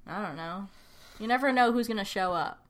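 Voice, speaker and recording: A woman's voice: mid-range voice, brisk. A woman in her twenties co-hosting a casual podcast, easygoing and chiming in to finish her partner's thoughts. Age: 20s. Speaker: podcast co-host.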